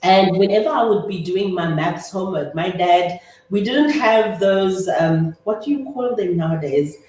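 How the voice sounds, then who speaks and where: A woman in her thirties from South Africa's Eastern Cape, Afrikaans her first language, answering interview questions in a measured way with many pauses. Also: fairly low pitch, a little mellow. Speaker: guest, chartered accountant. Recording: echoey room, distant mic.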